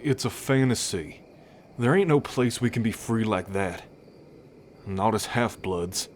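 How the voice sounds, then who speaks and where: A wary, wounded bull man acted by a man from a fantasy audio roleplay. He talks slowly and haltingly, guarded with every phrase. Night crickets and steady background noise sit behind him. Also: fairly low pitch. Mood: doubtful. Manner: halting, guarded, hesitant delivery. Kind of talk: ASMR audio roleplay acting.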